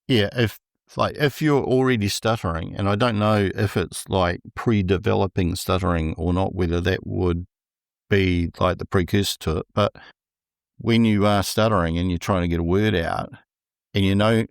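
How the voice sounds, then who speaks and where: A man with a New Zealand accent who speaks slowly and deliberately, with a stutter, in a relaxed interview as a podcast guest. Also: deep voice, creaky, smooth, dry recording, clean studio sound. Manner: slow, deliberate speech with a stutter. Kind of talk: casual interview conversation.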